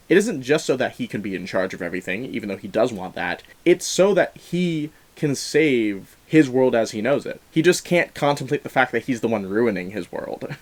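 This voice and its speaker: A man hosts a film discussion, speaking in a conversational reviewing style. His voice sits at an average male pitch, and he talks through characters and story as commentary. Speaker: film review podcast host.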